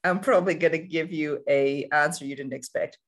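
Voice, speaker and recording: Candid storyteller with a gravelly edge. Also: medium pitch, a little fast. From Sri Lanka. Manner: reflective, candid storytelling with light humor. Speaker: surgeon on a conference panel. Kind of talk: panel discussion answer.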